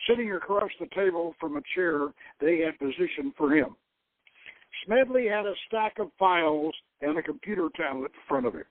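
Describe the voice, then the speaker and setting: Male narrator for adventure audiobook-style reading, higher-pitched, measured, slightly halting, on narrow phone-like sound. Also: no echo. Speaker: show host reading his own novel. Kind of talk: dramatic reading of an adventure novel. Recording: tinny, narrow-band radio-style sound.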